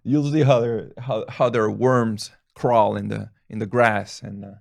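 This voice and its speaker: A man's voice: lowish voice, steady tone, measured pace, creaky, a little mellow, no echo, studio quality. A man in his thirties, a family physician and native Spanish speaker, talking casually and rambling, with restarts and chuckles. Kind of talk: casual talk to camera, answering questions.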